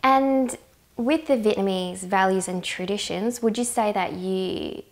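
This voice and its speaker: A woman's voice: mid-range voice, a little slow. Woman journalist asking interview questions.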